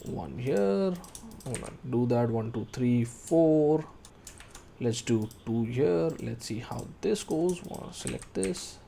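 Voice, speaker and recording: Man in his thirties, software tutorial, lively pitch, talks through each step, faint keyboard clicks behind. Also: slow, vocal fry, slight background noise. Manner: thinking aloud while working, calling out shortcuts. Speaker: 3D modeling tutor. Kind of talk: screen-recorded software tutorial.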